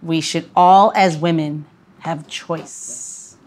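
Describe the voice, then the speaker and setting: A woman hosting a casual conversation, speaking earnestly in halting phrases. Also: sits low, steady tone, darkish tone. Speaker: podcast host.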